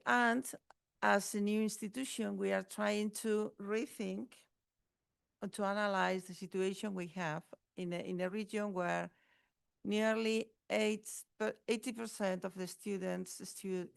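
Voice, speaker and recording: Gravelly-voiced woman from northern Spain. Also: mid-range voice, no echo. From Basque Country, Spain. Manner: hesitant, restarting words, many fillers. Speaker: teacher educator. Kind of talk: panel self-introduction.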